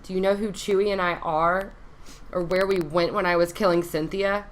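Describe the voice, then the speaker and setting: A woman's voice: on the low side, steady tone, moderate pace, a little hiss or hum, close to an everyday voice. A woman playing a cunning femme fatale in a noir radio drama, dramatic and pointed. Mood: defiant, knowing.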